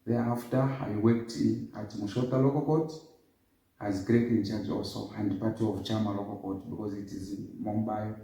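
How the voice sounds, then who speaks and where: A man in his fifties giving a formal interview, recounting his background in a measured way. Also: fairly low pitch, steady tone, dark tone. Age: 50s. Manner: measured, formal, lists dates and places. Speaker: interview guest. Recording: echoey, distant room sound.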